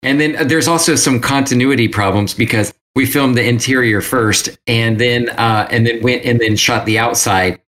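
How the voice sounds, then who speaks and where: A man's voice: mid-range voice, moderate pace, hardly any pauses, a bit bright. Man chatting casually as an interview guest. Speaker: interview guest, self-published creator.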